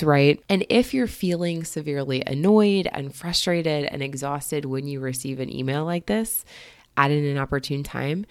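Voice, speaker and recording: Hoarse low-voiced woman podcast host. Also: dry recording, studio quality. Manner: energetic, conversational, emphatic. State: hoarse and muffled, recovering from illness. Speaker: podcast host and life coach. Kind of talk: casual solo podcast talk.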